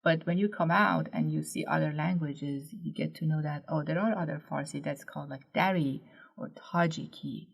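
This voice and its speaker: A woman from Iran, a guest language expert in a podcast interview, explaining in a measured way. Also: low voice, creaky, husky.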